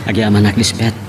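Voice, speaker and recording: An actor playing a polite, humble, earnest man in an Ilocano radio romance drama, with an Ilocano accent. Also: on the low side. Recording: clear background noise.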